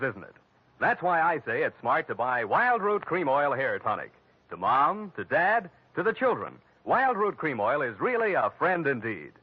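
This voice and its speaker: An American man announcing and pitching a sponsor on 1940s radio, polished and salesmanlike. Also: husky, no room sound. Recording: dull old radio recording. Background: music.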